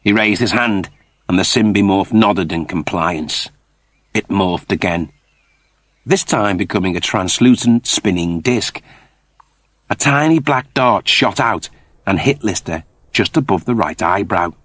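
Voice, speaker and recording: A man's voice: low voice, animated, measured pace, fairly bright tone, no room sound. A man narrating a scripted story in a dramatic storytelling style. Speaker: audiobook narrator.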